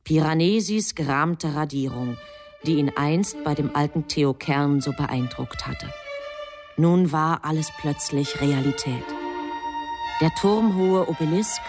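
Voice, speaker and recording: Radio drama narrator: composed storyteller, low register, deliberate phrasing, music underneath. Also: female voice. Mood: measured, suspenseful. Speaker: narrator, also playing an angel. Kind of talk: scripted radio play narration.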